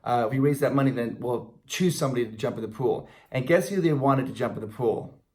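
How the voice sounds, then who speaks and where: A man's voice: mid-range voice, quick pace, a little mellow. A middle-aged man with an American accent, chatting casually and telling stories to fans as a video co-host, often rephrasing himself. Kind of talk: casual chat and storytelling to fans. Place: small indoor room in front of a logo banner.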